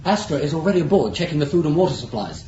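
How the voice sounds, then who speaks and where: Brisk, animated young rebel crewman. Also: fairly high pitch. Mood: keen, technical. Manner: brisk, persuasive, insistent. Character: keen, questioning young male spaceship crewman, stubbornly rebellious. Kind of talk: 1980s radio drama acting. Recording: dull roomy old radio recording, light noise.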